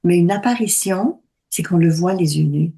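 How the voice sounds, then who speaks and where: A woman in her sixties speaks in a slightly low, calm voice. She explains her points slowly and deliberately in easy conversation. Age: 60s. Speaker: medium. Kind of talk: conversational talk.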